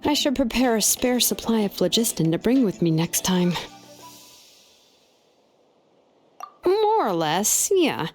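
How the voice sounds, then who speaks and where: A woman's voice: on the high side, very animated, moderate pace, darkish tone, soft background music. A woman playing a laid-back, confident young craftswoman in a video game, speaking in a casual, matter-of-fact way. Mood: relaxed. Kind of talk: video game character acting.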